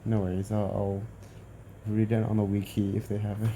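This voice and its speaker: A man's voice: lowish voice, monotone, fairly quick, warm tone, a little hiss or hum. A man hosting a game story read-along in casual, informal chat.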